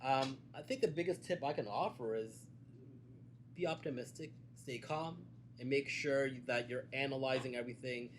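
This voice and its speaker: A man speaking as a guest in a casual conversation, measured and hesitant with frequent fillers. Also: mid-range voice. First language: Cantonese. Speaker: guest, events and media producer. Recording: faint background noise.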